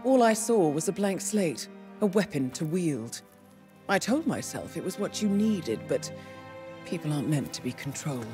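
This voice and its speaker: Elegant bitter handler, low voice, formal game cutscene delivery, long reverb, loud music. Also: female voice, sing-song. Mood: regretful, grave. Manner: measured, formal, deliberate. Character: cool, calculating female intelligence handler, elegant and bitter. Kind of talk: dramatic video game cutscene acting.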